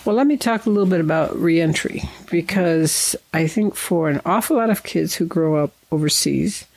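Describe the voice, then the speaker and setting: An interviewer asking thoughtful, probing questions in a steady conversational way. The voice has a gravelly, creaky edge and sounds dry and close, with no room echo. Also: mid-range voice, moderate pace. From Nigeria.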